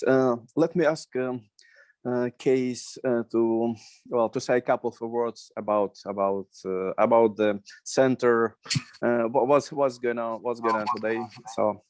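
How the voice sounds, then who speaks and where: A man opening a panel on a video call, halting and repeating words as he goes. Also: medium pitch, darkish tone, dry recording. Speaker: discussion moderator.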